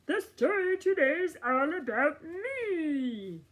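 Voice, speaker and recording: A woman voicing a brash, boastful big-mouthed frog puppet for a children's storytime, loud and drawn out. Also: high-pitched, sing-song, pitched above the performer's own voice, full character voice. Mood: cheerful, eager. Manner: loud, drawn-out, shouting questions.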